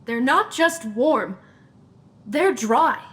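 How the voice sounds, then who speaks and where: A young woman playing a sharp, decisive investigator in a supernatural comedy audio drama, teasing with deadpan banter. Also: high-pitched, extremely slow, heavily acted. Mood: dry, uneasy.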